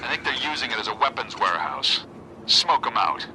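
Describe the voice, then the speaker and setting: Tough cop over noise. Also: male voice, mid-range voice, very animated, a little fast. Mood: wary, firm. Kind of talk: video game voice acting.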